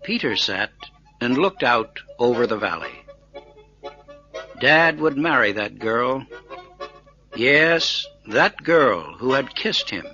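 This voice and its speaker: Slow, bright-voiced male storyteller. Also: mid-range voice, lively intonation, dull audio, faint music behind. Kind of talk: scripted story narration for English learners.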